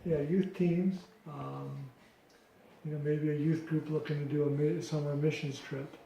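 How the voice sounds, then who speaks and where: Middle-aged American man, mellow, slightly echoey room. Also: fairly high pitch, little pitch movement, a little slow, dull audio. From Detroit, United States. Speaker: interviewee, ministry founder. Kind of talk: informal interview answers. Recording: roomy echo with clear background noise.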